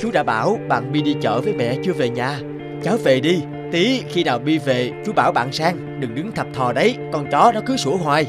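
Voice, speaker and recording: A man's voice: on the high side, lively intonation, fairly quick, over loud music, lightly acted. A man voicing a friendly middle-aged father and neighbour in a cartoon. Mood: impatient. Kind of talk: animated cartoon dialogue.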